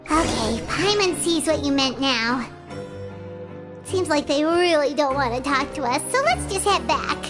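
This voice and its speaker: A woman's voice: sits high, expressive, a little slow. A woman voicing a small, bubbly female fairy companion in a game who is pushy and talks about herself in the third person. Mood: inquisitive. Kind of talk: game voice acting. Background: game music.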